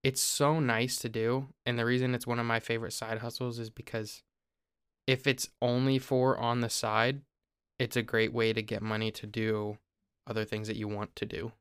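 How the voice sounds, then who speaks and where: Smooth-voiced young man from Ohio. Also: medium pitch, normal speed, no room sound, clean studio sound. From Ohio, USA. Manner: relaxed, explains step by step with small restarts. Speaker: podcast host. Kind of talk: casual explanatory chat.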